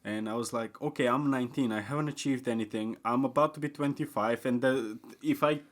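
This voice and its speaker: A young man in casual podcast chat. He rambles and restarts often, leaning on fillers. His pitch swings widely, bursting up when excited and sinking to a near mumble when he turns thoughtful. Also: a little fast. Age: young adult. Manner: rambling, repeats himself, often says like and uh; swings between excited high pitch and quiet low mumbling. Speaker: podcast guest.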